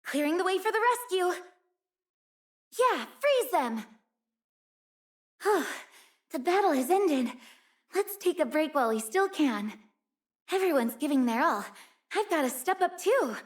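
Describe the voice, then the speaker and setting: A young female mountain rescuer from a video game. Her voice is high and girlish, and she comes across as gentle and sincere. She speaks politely, with real care for the people around her. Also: big pitch swings, a little slow, pauses often, full character voice. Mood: encouraging. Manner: earnest, caring, polite. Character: earnest, gentle young female snow-rescue team member. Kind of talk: video game character voice lines.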